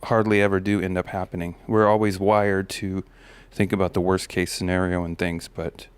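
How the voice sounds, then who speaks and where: Man, low steady voice, smooth with slight gravel, reflective host talking, dry close sound. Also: normal speed, dry recording. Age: adult parent of a daughter. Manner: relaxed, musing, many fillers and restarts. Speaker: podcast host. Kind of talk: reflective personal monologue.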